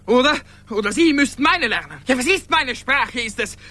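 A desperate everyman in a radio play, his voice high and sharp with wide swings. He pleads haltingly, repeats himself and clings to love and to his humanity. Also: lively intonation, full character voice. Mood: defiant, anguished. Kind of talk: German radio play acting.

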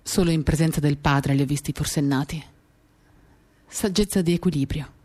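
A woman giving a measured, expressive dramatic reading of a first-person novel, pausing as she tells it. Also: deep voice. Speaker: reader of a literary work. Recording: acoustic guitar music behind the voice. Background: acoustic guitar accompaniment.

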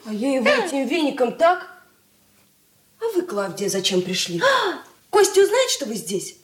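High, swooping pert young daughter. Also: female voice, big pitch swings, moderate pace, reverberant. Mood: cheeky. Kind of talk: 1980s Soviet radio play acting.

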